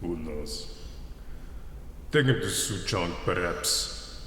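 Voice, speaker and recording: An actor playing a cold executioner with a reluctant soft spot, speaking in terse, halting phrases in a radio drama. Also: male voice, low voice, animated, heavily acted. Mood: grim, matter-of-fact. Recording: roomy long echo with faint noise.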